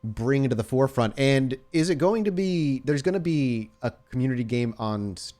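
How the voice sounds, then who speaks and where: A man's voice: mid-range voice, expressive, moderate pace, darkish tone. A man speaking as a podcast host and game storyteller, with an informal delivery. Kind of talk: casual podcast chat, then slow scene narration for a role-playing game.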